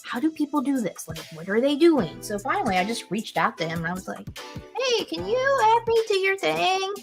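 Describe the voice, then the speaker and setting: A woman hosting a casual livestream chat, telling stories in an animated way and acting out the quotes. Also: high voice, moderate pace, vocal fry, soft background music. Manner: animated storyteller, acts out quotes. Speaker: host.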